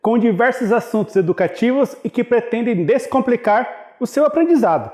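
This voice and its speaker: High-voiced male TV announcer. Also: lively intonation, fairly quick, darkish tone, roomy sound. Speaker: program announcer. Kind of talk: broadcast announcement.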